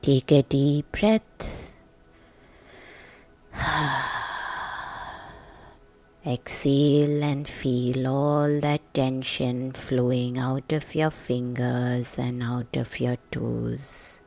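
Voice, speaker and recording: A woman narrating a guided sleep meditation in a gentle, hushed, soothing manner with long pauses. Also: rumbling low, flat delivery, creaky, soft background music. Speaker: meditation guide, podcast host.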